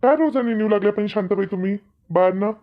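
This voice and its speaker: A man's voice: high voice, quick pace, lightly acted. A man voicing an easygoing village husband in a comic cartoon, with a rural Maharashtrian Marathi accent and homely, questioning talk. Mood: easygoing, teasing. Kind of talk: animated comedy dialogue. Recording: dull, lo-fi audio.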